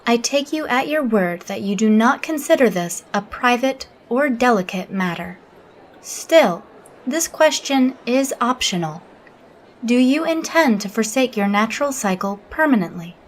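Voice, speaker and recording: A woman playing a logical alien officer in an audio drama, interviewing in a formal, measured, reserved manner. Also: on the high side, animated. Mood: coolly probing.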